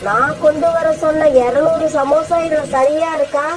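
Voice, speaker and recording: A woman's voice: sits high, fairly even intonation, moderate pace, slight background noise, natural voice. A woman voicing an indignant, threatening eagle in a cartoon story. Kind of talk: dubbed cartoon dialogue.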